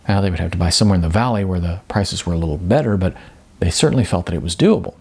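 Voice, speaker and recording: A man's voice: deep voice, very fast, vocal fry, a little mellow, slightly rough. A man, an author reading from his own novel in an audiobook narration style with casual asides.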